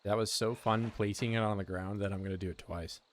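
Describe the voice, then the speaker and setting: A man's voice: fairly low pitch, steady tone, a little fast, slight background noise. A young man streaming a survival game, rambling and joking with his chat in a casual way. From United States. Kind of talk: casual gameplay commentary.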